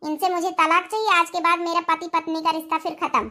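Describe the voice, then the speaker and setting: A woman's voice: very high-pitched, level tone, fast talker, a crowd in the background. A woman voicing a haughty young teacher wife in a comic cartoon, curt and dismissive, with an Awadhi accent. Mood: cold contempt. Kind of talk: comic village cartoon dialogue.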